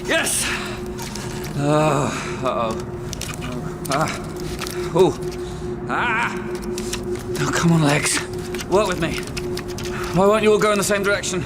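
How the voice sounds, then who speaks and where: A man's voice: on the high side, animated, slow pace, pauses often, very noisy. A man playing a bewildered young man turned into a giant insect in a radio drama, muttering and exclaiming with effort. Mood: straining, frustrated. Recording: music over the voice.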